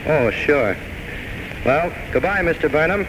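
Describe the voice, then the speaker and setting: A man's voice: on the high side, animated, unhurried. An actor playing a plain-spoken, folksy barman in a 1940s radio drama. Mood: gruffly kind. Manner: folksy, colloquial. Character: plain-spoken, folksy working man running bar. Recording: noisy old 1940s radio broadcast.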